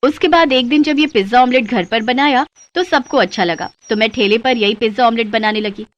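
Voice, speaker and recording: Woman playing a humble, high-voiced hardworking mother. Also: a little fast, dull audio, lightly acted. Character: humble, hardworking mother running a food cart. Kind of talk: Hindi moral-story cartoon voice acting.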